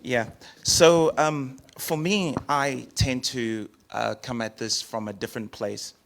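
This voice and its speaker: Man panelist, mid pitch, relaxed, chatty, hedging answers. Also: slow pace, creaky. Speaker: city council candidate.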